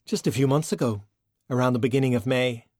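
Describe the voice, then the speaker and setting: A man's voice: medium pitch, quick pace, a little mellow, no echo, studio quality, pitched above the performer's own voice, close to an everyday voice. A man voicing a casual, blunt, wry young Irish woman in an audiobook.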